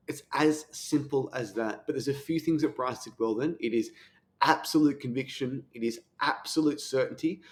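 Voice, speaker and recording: A man giving coaching commentary on sales technique, talking fast with the occasional stutter. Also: medium pitch, a little mellow.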